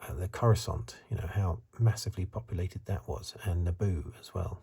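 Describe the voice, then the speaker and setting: A man speaking in a soft, breathy, partly whispered ASMR voice, musing hesitantly as he gives a casual review. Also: deep voice, moderate pace. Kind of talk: soft-spoken ASMR commentary.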